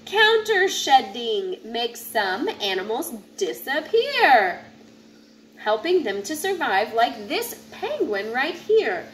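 A woman with a high voice reads a children's picture book aloud at an unhurried, slow pace. Her delivery is deliberate and instructive. It is a home recording with faint background noise. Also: sing-song. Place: home living area with kitchen, indoors. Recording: noisy home recording, room ambience.

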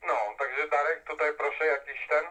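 A man's voice: on the high side, fairly even intonation, normal speed, sharp tone. A man chatting casually as a CB radio operator, his voice coming over a radio transmission. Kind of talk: casual chat in turns on CB radio. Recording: very noisy CB radio reception.

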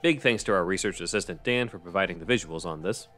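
A man's voice: low voice, fast, a bit bright, soft background music. A man co-hosting a podcast as narrator, reading from a script.

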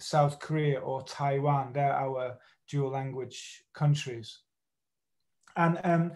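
Professor presenting online, slightly high voice. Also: male voice, darkish tone. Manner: casual, many fillers and restarts. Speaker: university professor and conference presenter. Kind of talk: academic presentation over video call. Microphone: video call microphone.

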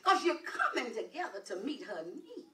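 Woman acting a high-pitched sassy American grandma. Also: wildly swooping pitch, normal speed, pushed and bright, far from the mic, heavily acted. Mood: knowing, teasing. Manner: folksy, repeats words, calls people baby and sugar. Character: sassy old grandmother dispensing frank marriage advice. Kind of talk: comedic stage play acting. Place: indoor stage set in a hall. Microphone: distant camera. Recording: echoey stage, picked up from afar.

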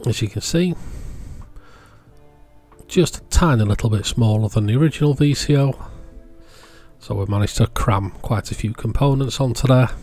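A man's voice: medium pitch, normal speed, darkish tone, soft background music. A man giving a casual, plain-spoken voice-over, explaining hobby electronics as the channel's presenter. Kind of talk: casual product showcase voice-over.

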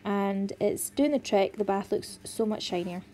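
A woman's voice: medium pitch, measured pace, mellow, soft background music. Tired pregnant woman narrating. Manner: chatty, friendly, explaining each step. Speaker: cleaning vlogger. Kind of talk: voice-over narration of a cleaning video.

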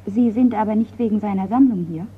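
An actress in a radio crime drama playing a colonel's polite, forthright niece, courteous and direct. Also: female voice, mid-range voice, quick pace, slightly rough. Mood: curious. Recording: thin phone-like, noisy radio recording.

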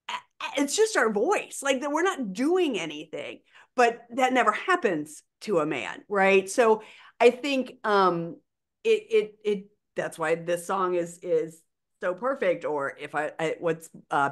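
An older woman co-hosts a podcast from her bedroom over a video call. Her voice is notably low and deep. She speaks with earnest conviction, pressing her points home. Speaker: podcast co-host.

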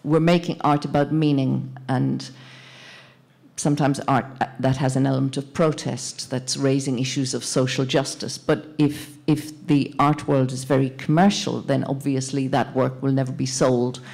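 A middle-aged woman moderating a panel discussion, thoughtful and halting as she searches for words. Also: rumbling low, level tone, vocal fry, darkish tone. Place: indoor panel event in a plain gallery room. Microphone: handheld microphone.

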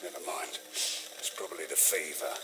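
Low-voiced, haunted, broken man. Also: steady tone, very noisy. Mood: shaken. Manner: weary, halting, self-pitying. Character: frail, haunted male former explorer, fearful and defeated. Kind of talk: video game dramatic acting.

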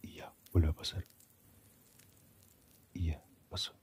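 A man voicing Death as a calm, philosophical figure in a slow ASMR roleplay, hushed, breathy and half-whispered, musing gently. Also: close to an everyday voice. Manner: unhurried, musing, asks reflective questions.

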